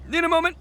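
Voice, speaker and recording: An actor playing a brash, boastful but soft-hearted young oni gang boss in a video game, blustering and stammering theatrically. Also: male voice, extremely high pitch. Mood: flustered, strained. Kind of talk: animated video game voice acting.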